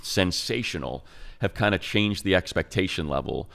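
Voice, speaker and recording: A man's voice: deep voice, lively intonation, normal speed, creaky, a bit bright. A middle-aged man who is a radio play-by-play announcer, talking through football analysis in a conversational, emphatic way with many fillers. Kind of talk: casual sports podcast analysis.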